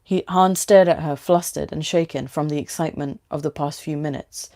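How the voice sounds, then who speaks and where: A woman's voice: low voice, fairly even intonation, normal speed, dark tone. A woman with a London British accent, hosting a livestream with story reading and casual chat.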